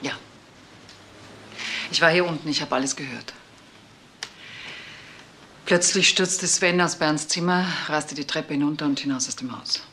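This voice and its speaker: An actress playing a bitter, betrayed middle-aged wife and mother who confesses haltingly in a crime radio play. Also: low-pitched, pushed and bright, a little hiss or hum. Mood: strained confessing.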